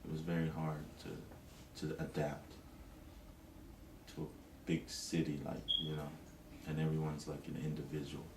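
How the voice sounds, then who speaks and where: A man's voice: very deep voice, monotone, unhurried, creaky, roomy sound, recorded from a distance, background noise. A man from Tonga, an interviewee, talking reflectively in a casual way, with many "you know" fillers.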